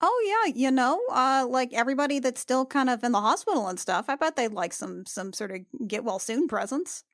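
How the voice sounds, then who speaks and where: A woman with a fairly high voice plays a tabletop roleplaying game. She talks in loose, slangy, improvised chat, and the recording is very dry. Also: animated, brisk, few pauses, no echo. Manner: slangy, conversational.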